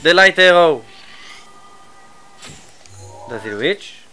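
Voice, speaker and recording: A man with a cold and a stuffy nose, chatting casually through a live game stream, his asides often trailing off quietly. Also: fairly high pitch, lively intonation, takes it slowly, soft background music. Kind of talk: live gameplay commentary.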